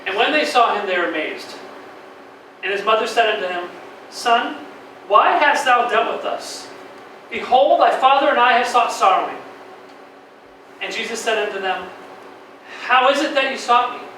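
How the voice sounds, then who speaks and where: A man playing a fussy, bright-voiced actor. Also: extremely high pitch, moderate pace, pauses often, recorded from a distance. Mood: solemn. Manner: fussy and dramatic, reading scripture solemnly. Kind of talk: stage play performance. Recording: echoey stage heard from afar, faint noise.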